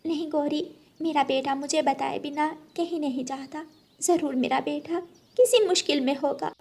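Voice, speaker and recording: A woman's voice: high-pitched, normal speed, a little mellow, pitched above the performer's own voice. A woman playing a worried, emotional mother sparrow in a cartoon story, with an anxious, pleading way of talking.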